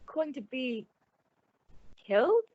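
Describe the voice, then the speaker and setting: An actress playing a gushing, solicitous, sociable woman with effusive, drawn-out emphasis in an old-time radio suspense drama. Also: sits high, sing-song, breathy edge, dry recording, phone-quality sound, heavily acted. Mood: alarmed.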